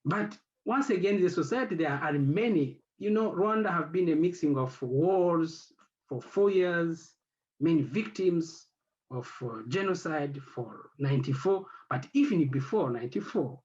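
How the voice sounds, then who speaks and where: A man whose first language is French, speaking on an academic panel as the head of an institute. He talks haltingly and searches for words, with many fillers. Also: on the high side, animated, a little mellow, dull audio.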